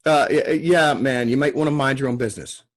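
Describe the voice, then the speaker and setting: A man's voice: mid-range voice, fairly quick, natural voice. A man reading the part of a belligerent male bar-goer, confrontational and goading, at a screenplay table read.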